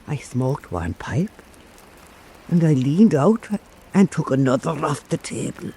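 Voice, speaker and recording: A man playing an old Irish island storyteller, telling an eerie fireside tale in a deliberate, theatrical way for radio drama. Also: fairly high pitch, big pitch swings, warm tone, slightly rough, heavily acted, rough recording. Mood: eerie, measured.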